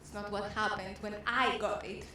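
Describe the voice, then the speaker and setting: A woman's voice: mid-range voice, fairly even intonation, moderate pace, bright, forceful tone. A woman with a Greek accent telling a stand-up comedy story. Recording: very echoey room, clear background noise.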